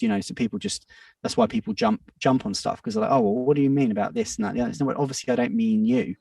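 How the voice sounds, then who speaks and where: A man in his thirties talks through his thoughts in a casual podcast chat, wandering and restarting as he goes. His voice has a gravelly edge and swings widely in pitch. He sits a little back from the mic in a dry, dead-sounding room. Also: a little mellow, dry recording, distant mic. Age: 30s. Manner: reflective, rambling with many restarts and fillers. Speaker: music producer and DJ guest.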